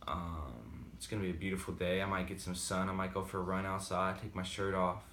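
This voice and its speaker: A man's voice: deep voice, steady tone, fairly quick, fairly bright tone, roomy sound, a little hiss or hum. A man with a slight Boston accent talking casually and rambling with many fillers in a motivational vlog. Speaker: vlogger. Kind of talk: casual motivational talk to camera.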